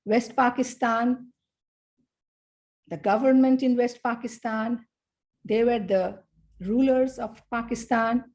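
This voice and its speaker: A middle-aged woman from Bangladesh giving a conference talk, measured and pausing between phrases. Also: on the high side, steady tone, a little mellow. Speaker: academic speaker.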